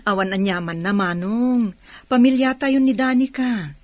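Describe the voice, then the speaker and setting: A woman's voice: a little high, a little slow, no echo, natural voice. A woman playing a welcoming middle-aged aunt in a radio drama.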